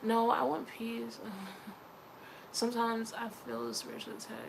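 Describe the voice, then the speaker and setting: A woman's voice: a little high, monotone, unhurried, vocal fry, noisy background. A young woman from Philadelphia with a Philly accent, talking casually and rambling in a personal vlog, often laughing and mentioning her faith. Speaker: vlogger.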